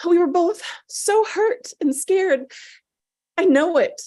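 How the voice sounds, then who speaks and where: A woman playing a grieving, guilt-ridden mother with halting, anguished delivery in a dramatic script reading. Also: extremely high pitch, expressive, warm tone, heavily acted. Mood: distraught, frightened.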